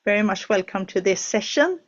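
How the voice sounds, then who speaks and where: A woman's voice: mid-range voice, expressive, brisk, dull audio. A woman hosting an online project session from a studio, talking hesitantly with many fillers and restarts. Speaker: webinar host.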